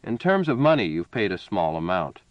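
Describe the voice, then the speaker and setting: A man's voice: fairly low pitch, lively intonation, fairly quick, no echo, muffled recording, close to an everyday voice. A man voicing a sardonic older ship's officer who lectures in a dry, satirical way.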